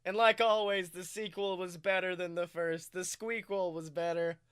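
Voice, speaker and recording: Bright, higher-pitched male podcaster. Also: normal speed, clear, resonant tone. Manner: excitable, jokey storytelling. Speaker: podcast co-host. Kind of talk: animated casual podcast chat.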